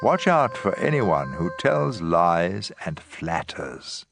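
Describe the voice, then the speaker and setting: A man giving a measured, expressive, scripted narration of scripture as a dramatized audiobook. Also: low-pitched, vocal fry. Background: plucked guitar music.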